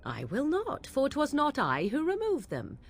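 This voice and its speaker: Game voice: slow, haughty witch of the wilds, archaic speech, lilting swings in pitch. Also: huge pitch swings, soft background music, full character voice. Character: aloof mocking young witch woman of the wilds. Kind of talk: video game voice acting.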